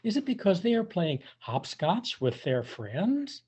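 An older man reading a picture book aloud to young children, with drawn-out emphasis and playful questioning. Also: high voice, very animated, warm tone, husky. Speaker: volunteer storybook reader for young children. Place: indoors, on a video call. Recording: dull, muffled webcam audio.

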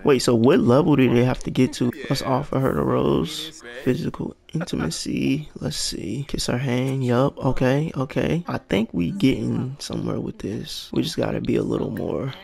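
A man casually commenting on his game, thinking out loud and getting excited as things happen, with a raspy voice. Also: mid-range voice, normal speed. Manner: thinks aloud, excited and unsure. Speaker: gaming channel host.

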